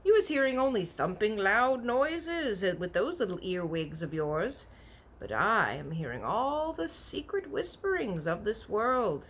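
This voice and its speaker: A woman's voice: medium pitch, very animated, unhurried, voice pushed up. A woman voicing a kindly, big-eared storybook giant who is earnest, proud and easily offended, talking in folksy broken grammar with invented words. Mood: boastful, insistent. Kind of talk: animated children's storybook character voice.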